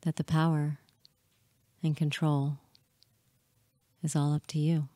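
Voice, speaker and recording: A woman guides a hypnosis session in a low, soft-toned and relaxed voice. She speaks very slowly and soothingly, leaving pauses between short phrases, and sounds close and dry, with no room around her. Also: no echo. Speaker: hypnotist guiding listeners.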